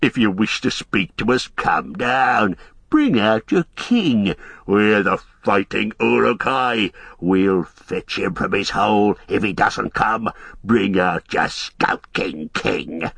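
A man's voice: fairly low pitch, lively intonation, slow pace, vocal fry, a bit bright, breathy edge, dry recording, exaggerated voice. A man voicing a horde of male orc warriors who jeer and bellow taunts in an audiobook.

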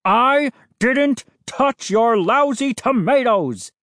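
Narrator doing a big menacing neighbour guy from a storybook, indignant, bellowing, swinging up high. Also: sing-song, slow, a little mellow, dry recording, clean studio sound. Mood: indignant, enraged. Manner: bellowing, roaring, growling.

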